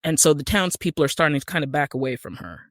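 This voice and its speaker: A woman's voice: low-pitched, fast talker, dry recording. Podcast guest: American woman, conversational, explains step by step, very dry close recording. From Utah, United States. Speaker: podcast guest, screenwriter and actor. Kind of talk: conversational interview.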